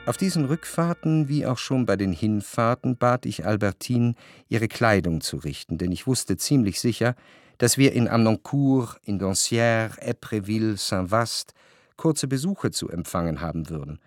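An actor as a measured, low-voiced gentleman narrator. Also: lively intonation, no room sound, studio quality, close to an everyday voice. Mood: reflective, measured. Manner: measured, articulate reading of long sentences. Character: reflective gentleman narrator recalling his past. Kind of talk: literary radio drama narration.

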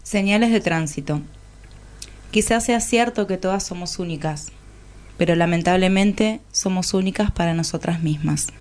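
Actress as a low-voiced, musing woman. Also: moderate pace, darkish tone, a little hiss or hum, lightly acted. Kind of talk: radio drama monologue.

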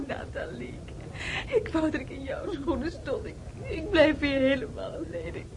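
A woman's voice: high-pitched, lively intonation, normal speed, noisy background. An actress playing a distraught, clinging woman in a radio drama, stammering and pleading through tears. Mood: desperate, tearful. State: crying. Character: distraught, clinging woman begging not to be left.

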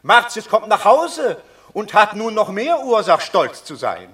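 An actor playing an excitable old Roman patrician in a radio play, swinging from hushed whispers to loud outbursts. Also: male voice, sits high, measured pace, full character voice. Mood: eager. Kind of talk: classic radio play acting.

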